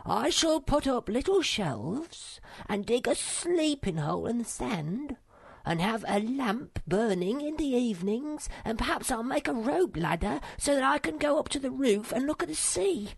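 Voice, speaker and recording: A small young male creature, high-pitched and plaintive. He sounds timid yet self-important, speaking in solemn, earnest tones with a dry, close sound. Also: very animated, unhurried, creaky, breathy edge, no echo, exaggerated voice. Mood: solemn, dreamy.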